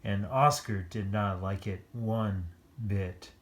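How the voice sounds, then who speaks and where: Children's read-aloud host: unhurried, playful delivery, drifting into chatty asides about the pictures. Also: deep voice, steady tone, slight background noise. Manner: playful, lingering over pictures with exclamations. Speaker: children's story-time reader.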